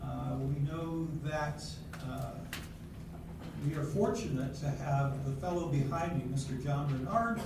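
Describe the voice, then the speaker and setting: An older man welcomes an audience from the stage, genial and rambling, with many fillers. His voice sits a little high and comes from some distance. The recording is very echoey, with noise in the background of the hall. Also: a little slow. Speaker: ensemble member introducing the show. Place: senior center hall.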